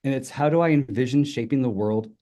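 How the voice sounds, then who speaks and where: A man's voice: mid-range voice, brisk, mellow. A middle-aged man whose first language is Italian, giving a friendly, informal talk as a guest speaker and telling personal stories. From Italy. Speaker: guest speaker, linguistics professor. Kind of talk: personal talk on an online webinar.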